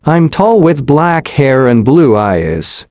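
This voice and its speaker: A man's voice: mid-range voice, sing-song, takes it slowly, darkish tone, dry recording, studio quality. A man reading short sentences carefully for language learners. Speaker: English reader. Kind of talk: slow scripted reading for learners.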